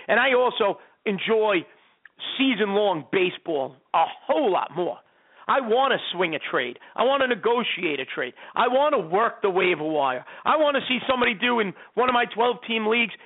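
A man in his thirties hosting a fantasy sports radio show, rambling and repeating words as he talks. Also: high-pitched. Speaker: host. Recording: tinny phone-like sound.

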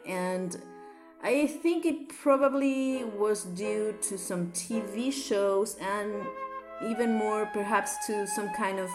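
A woman from Chile with a Chilean accent, reading a survey aloud and then telling her own story with ums and uhs. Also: mid-range voice.

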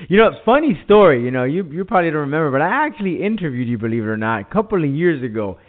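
A man hosting a radio show, talking quickly with many restarts and short backchannels in a casual interview. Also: on the high side, very animated. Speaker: radio host. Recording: narrow lo-fi broadcast audio.

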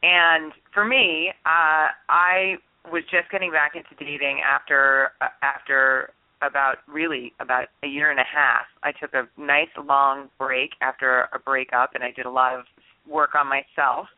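A woman's voice: very deep voice, fairly even intonation, unhurried, dry recording. Giggly woman on a phone line. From Los Angeles. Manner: bubbly, laughs often, acts out friends' lines. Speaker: dating expert guest. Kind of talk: casual radio interview. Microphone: phone call.

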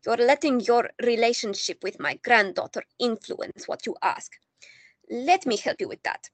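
A woman's voice: a little high, normal speed, no echo. Woman playing a wry older Greek-born grandmother. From Greece. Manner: calm, dry, teasing.

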